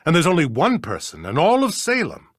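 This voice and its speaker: An actor playing a pompous, grumbling older small-town judge with an American accent in a video game, in a blustery, theatrical manner. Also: male voice, on the high side, huge pitch swings, brisk, no echo, studio quality. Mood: defensive. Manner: blustery, theatrical, throat-clearing, self-important.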